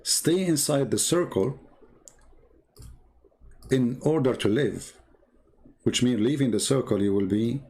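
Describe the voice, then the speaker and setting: A man who is not a native English speaker gives informal, mocking commentary as he retells and reads out stories, in a halting, repetitive way. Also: mid-range voice, a little mellow. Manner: sardonic, mocking. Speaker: commentator presenting religious texts. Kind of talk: informal polemical commentary, retelling and reading aloud.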